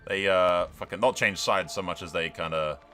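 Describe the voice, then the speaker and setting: A man's voice: deep voice, fairly even intonation, moderate pace, sharp tone, faint music behind. A man giving casual, sweary game commentary, slipping into mock-grandiose role-play narration. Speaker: gaming video host.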